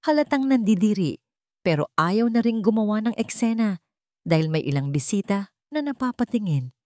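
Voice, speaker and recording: A woman's voice: medium pitch, animated, normal speed, darkish tone, dry recording. A woman reading a scripted dramatic story as its narrator.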